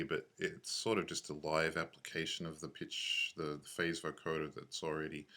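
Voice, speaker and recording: Male tutorial narrator, deep and monotone. Casual, unscripted, halting, works things out aloud. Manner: halting, thinking aloud, self-correcting. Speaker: software tutorial instructor.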